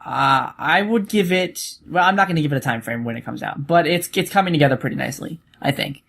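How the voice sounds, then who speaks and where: A man co-hosting a podcast, speaking casually in a hesitant, halting way. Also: fairly high pitch, creaky, a bit bright, breathy edge. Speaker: podcast co-host. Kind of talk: casual chat.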